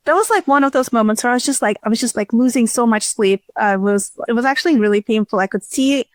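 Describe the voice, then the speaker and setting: A woman's voice: fairly high pitch, fast talker, no room sound. A woman giving casual, chatty interview answers as a startup chief executive, often restarting sentences and leaning on filler words. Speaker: startup CEO, interview guest.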